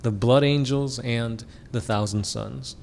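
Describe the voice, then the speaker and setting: A man co-hosting a casual podcast about a tabletop war game, answering fan questions in a relaxed way, full of "like" fillers and quick laughs. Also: mid-range voice, gravelly, a little hiss or hum. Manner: laid-back, many "like" fillers, laughs often. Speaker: podcast co-host.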